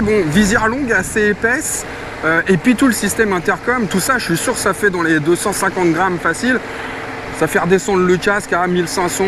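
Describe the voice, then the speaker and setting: A man reviews gear casually. He talks colloquially and hesitantly, thinking aloud as he goes. Clear traffic and vehicle noise runs behind him. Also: sits high.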